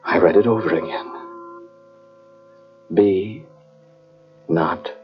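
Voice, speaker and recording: An actor playing a haunted scientist in an old-time radio drama, telling of a terrifying ordeal in a dramatic, halting, brooding way. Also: male voice, sits low. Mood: haunted awe. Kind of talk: old-time radio drama acting, first-person narration. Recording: dull old cassette radio recording, music behind.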